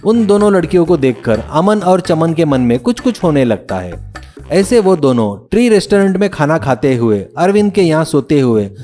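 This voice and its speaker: A man's voice: on the high side, mellow. A man narrating a scripted moral tale as a storyteller.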